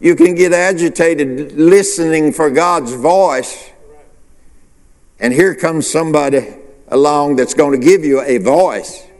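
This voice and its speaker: Slow preacher in an echoing hall. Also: male voice, fairly high pitch, a little mellow. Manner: preacherly call-and-response, rhetorical questions. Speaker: pastor. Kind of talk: sermon.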